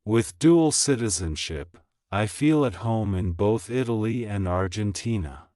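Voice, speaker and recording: Male narrator for a language lesson, lowish voice, dry close recording, careful even delivery. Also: unhurried, no echo. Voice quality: plain, even reading of each sentence, repeated. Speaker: English teacher reading practice sentences. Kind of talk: slow, clear scripted reading for shadowing practice.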